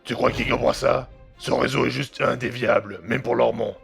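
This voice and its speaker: Man acting a gravelly, cynical gangster hitman. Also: mid-range voice, fairly even intonation, moderate pace, music in the background. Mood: wary scheming. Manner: slangy, swearing, sardonic. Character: cynical, trigger-happy gangster hitman, crude and mocking. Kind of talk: French audio drama acting.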